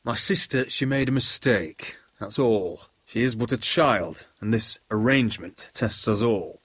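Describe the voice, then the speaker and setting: An actor playing an earnest, protective young lord under strain in a video game drama, speaking in a measured way. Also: male voice, fairly low pitch, fairly bright tone, breathy edge, dry recording. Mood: conciliatory.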